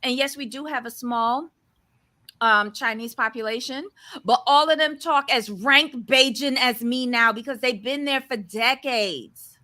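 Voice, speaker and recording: Woman, Barbadian accent, slow delivery, sharp bright tone, blunt opinions. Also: on the high side. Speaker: podcast co-host. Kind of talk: casual recap commentary.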